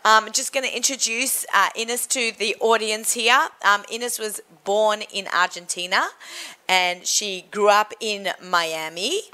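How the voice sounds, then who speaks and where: A woman with an Australian accent hosting a chatty radio show, friendly and quick to laugh as she interviews guests. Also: mid-range voice, steady tone, pushed and bright. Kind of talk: casual on-air interview chat.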